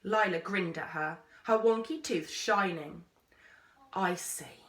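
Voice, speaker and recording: A woman reading a children's story aloud with expressive, measured, dramatic phrasing. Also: mid-range voice. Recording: roomy echo, distant microphone.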